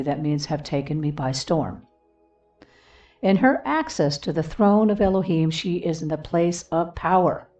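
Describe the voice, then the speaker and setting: A woman reading a devotional text and scripture references aloud in a solemn, deliberate manner, pausing between short phrases. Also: deep voice, dark tone. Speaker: reader of a devotional book. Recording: dull lo-fi, distant mic, music behind.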